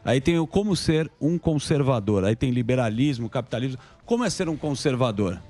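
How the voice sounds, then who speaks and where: A Brazilian man with a medium-pitched voice speaks as a panelist on a talk show. He puts his questions to the guest in a casual, conversational way. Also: expressive, a little fast, dark tone, soft background music.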